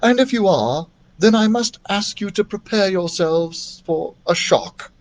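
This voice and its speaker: A man voicing a churchyard gardener in a storybook, guarded and solemn, with formal, measured questioning. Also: sits high, expressive, pitched above the performer's own voice.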